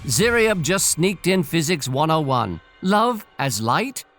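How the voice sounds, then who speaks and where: A man's voice: fairly high pitch, sing-song, slow, fairly bright tone, faint music behind. A man telling a scripted story and adding playful, theatrical commentary as host.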